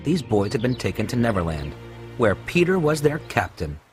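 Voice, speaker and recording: A man's voice: mid-range voice, big pitch swings, moderate pace, darkish tone. A man narrating a scripted children's storybook tale. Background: music.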